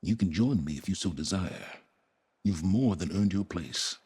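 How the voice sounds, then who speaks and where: A man playing a cold, calculating mastermind in a video game drama, measured, formal and condescending, with a low husky voice. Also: dark tone. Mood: condescending, assured. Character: cold, calculating, knowledge-hungry male mastermind, condescending and self-assured.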